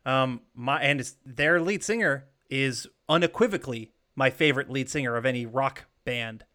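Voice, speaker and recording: A man's voice: mid-range voice, normal speed, pushed and bright. A man co-hosting a casual podcast chat, talking enthusiastically and swearing casually. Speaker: podcast co-host.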